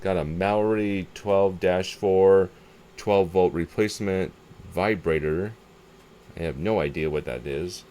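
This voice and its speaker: A man's voice: low-pitched, takes it slowly, mellow. A man giving a casual, unscripted hands-on show-and-tell of a repair, muttering to himself and thinking aloud as he works, recorded on a camera microphone. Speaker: hobbyist presenting a radio repair video. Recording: clear background noise and ambience.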